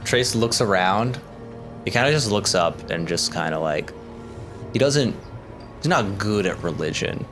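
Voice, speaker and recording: A man's voice: fairly low pitch, animated, a little slow, pauses often, music in the background. A man narrating his character's actions in a tabletop roleplaying game, rambling with lots of "kinda" and "like". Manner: rambling, fills gaps with "like" and "kinda". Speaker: player.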